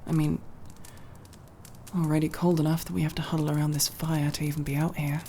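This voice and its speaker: A young woman playing a guarded but tender tomboy friend in an ASMR roleplay, speaking intimately and haltingly, with sincere, teasing, casually sweary delivery. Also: low-pitched, level tone, warm tone, a little hiss or hum, natural voice. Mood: soft teasing. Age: young adult. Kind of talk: ASMR roleplay, intimate one-sided dialogue.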